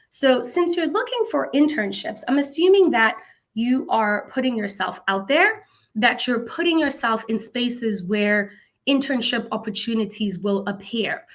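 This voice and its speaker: A woman's voice: on the high side, sharp tone. A woman from South Africa giving an upbeat, encouraging lesson to students on personal branding, with emphatic exclamations. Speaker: presenter. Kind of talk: instructional talk to students.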